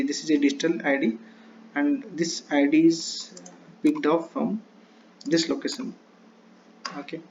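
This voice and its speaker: A man presenting a step-by-step tutorial in halting, short broken phrases on a lo-fi recording. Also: a little high, darkish tone. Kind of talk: screen-share tutorial, explaining step by step. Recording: dull lo-fi mic with faint noise.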